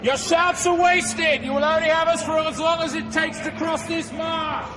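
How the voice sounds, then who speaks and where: A furious demon ferryman for an audio drama, shrieking extremely high. His taunts come through a noisy clamour of voices. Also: steady tone, moderate pace, a crowd in the background. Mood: furious taunting. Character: furious demonic boatman of the underworld marsh.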